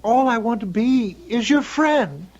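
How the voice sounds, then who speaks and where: A muffled, noisy vintage radio mystery recording. a lonely old ghost with courteous, coaxing manners and a very animated delivery, gently insisting like a needy shopkeeper. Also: male voice, very high-pitched, a little slow. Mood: plaintive, friendly. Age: older. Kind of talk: old-time radio drama acting.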